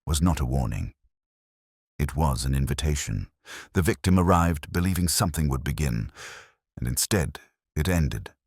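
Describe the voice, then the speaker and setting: Guy doing a super deep clever detective for an audiobook, composed n cryptic, measured, dry sound. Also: lively intonation, a little mellow, husky, no room sound, exaggerated voice. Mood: thoughtful, cryptic. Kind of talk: audiobook detective story dialogue.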